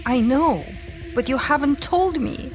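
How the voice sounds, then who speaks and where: A woman's voice: sits high, animated, unhurried, low-quality recording. A woman playing an anxious, urgent woman on the run with a wounded companion in an audio drama. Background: loud music.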